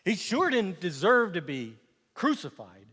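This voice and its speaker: Sardonic Roman governor for stage monologue, male actor: high-pitched, forceful, scornful and exasperated, theatrical delivery. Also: a little slow, full character voice. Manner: animated, scornful, exasperated, swinging from quiet asides to outbursts. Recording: echoey hall with long reverb.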